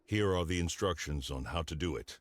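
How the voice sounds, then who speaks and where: A man's voice: low voice, a little fast, a little hiss or hum, natural voice. An actor playing a grave, devoted father and former werewolf commander in a video game, with an earnest, dramatic delivery. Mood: determined. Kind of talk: scripted video game character dialogue.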